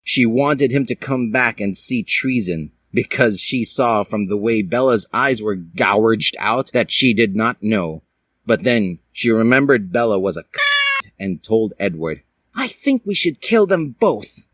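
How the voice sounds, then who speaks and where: A man's voice: lowish voice, huge pitch swings, measured pace, fairly bright tone, no echo. A man reading fan fiction aloud as a dramatic, comic storyteller with a theatrical manner. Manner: theatrical, puts on character voices.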